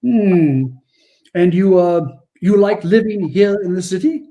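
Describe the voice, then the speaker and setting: A man acting a kindly, merry old Santa Claus in a 1940s-style radio play, with gentle, courtly manners. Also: high voice, takes it slowly, lo-fi sound. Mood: gently probing.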